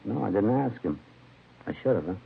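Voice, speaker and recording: A gravelly gruff american drunk tank prisoner, blunt street talk, muffled old radio show with some hiss. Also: male voice, fairly low pitch, animated, brisk, full character voice. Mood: eager, chatty. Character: gruff, talkative male drunk-tank prisoner helping police. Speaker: radio actor. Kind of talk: 1950s radio crime drama acting.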